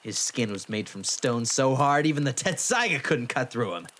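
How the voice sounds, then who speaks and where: An actor playing a brash, boastful young half-demon man with a gruff, defensive manner in a fan audio drama. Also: medium pitch, lively intonation, moderate pace, fairly bright tone, lightly acted. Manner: cocky, defensive, gruff bragging.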